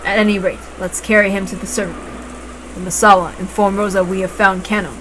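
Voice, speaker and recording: A woman's voice: medium pitch, little pitch movement, brisk, noisy background, voice pushed down, lightly acted. A woman as a composed, commanding older head butler.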